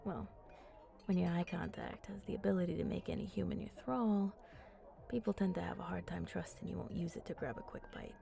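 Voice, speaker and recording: A woman playing a flirty, confident vampire in an intimate ASMR roleplay, talking softly and playfully up close and often dropping into breathy murmurs. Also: on the low side, fairly even intonation, a little fast, faint music behind. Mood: confiding, flirty. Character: flirty, teasing, confident female vampire at a bar. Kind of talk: ASMR roleplay, intimate one-sided chat.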